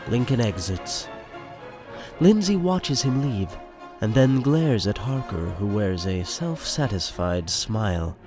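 A man's voice: on the low side, lively intonation, slow, loud music behind the voice. A man narrating a radio play in the present tense with a conversational storytelling manner.

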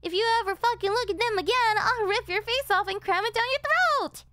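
A high-pitched yandere delinquent girlfriend, acted by a woman for an ASMR audio roleplay. She is clingy and doting, pleading sweetly with a possessive, threatening edge. Music plays behind her voice. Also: fairly quick, fairly bright tone. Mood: jealous fury. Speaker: voice actress.

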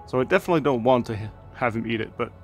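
A man talks casually and eagerly as he tours his garden outdoors, pausing on fillers as he goes. His voice sits at an ordinary middle pitch. Faint music plays under the recording. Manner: relaxed, enthusiastic, chatty with fillers. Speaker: gardening channel host. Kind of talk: casual garden tour narration.

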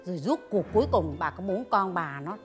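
An actress playing a blunt, gossipy older neighbour in a comedic drama, needling and insistent, with a Southern Vietnamese accent. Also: female voice, on the low side, expressive, fairly quick, music in the background, close to an everyday voice. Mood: conspiratorial, coaxing.

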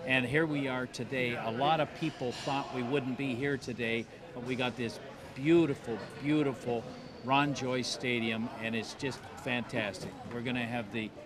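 Enthusiastic man amid crowd chatter. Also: mid-range voice. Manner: enthusiastic, repetitive praise. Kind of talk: interview.

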